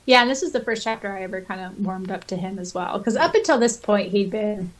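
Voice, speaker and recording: A woman's voice: medium pitch, expressive, fast. A woman co-hosting a podcast in a casual fan chat, sometimes reading comments aloud. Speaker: podcast host.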